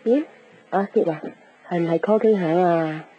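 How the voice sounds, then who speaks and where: A woman's voice: lowish voice, moderate pace, natural voice. An actress playing a kindly, homely middle-aged mother in a Cantonese radio drama. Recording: dull radio broadcast, faint music.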